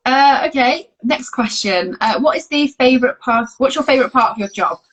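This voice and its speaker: A woman's voice: sits high, lively intonation, moderate pace, fairly bright tone, low-quality recording. A woman hosting a live online Q&A, friendly and encouraging, often saying "yeah, yeah". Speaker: interview host. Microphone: video call.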